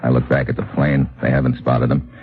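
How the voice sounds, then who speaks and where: A hard-boiled American adventurer from 1950s radio, very deep and deadpan, in clipped, wry phrases. The old recording is muffled, with music clearly behind him. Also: male voice, fairly quick. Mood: tense, clipped. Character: tough, wry American male adventurer hero narrating his mission.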